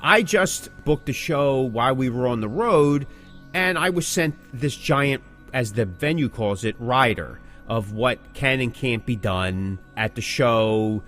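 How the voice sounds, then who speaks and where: A man talks in an ordinary mid-pitched voice with faint music underneath. He explains things as a podcast co-host would, casually and with clear emphasis. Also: animated, a little slow, a bit bright.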